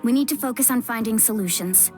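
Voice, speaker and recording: A woman's voice: on the high side, fast, darkish tone, faint music behind, close to an everyday voice. A woman voicing an earnest young warrior. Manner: earnest, emotional. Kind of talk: game voice acting.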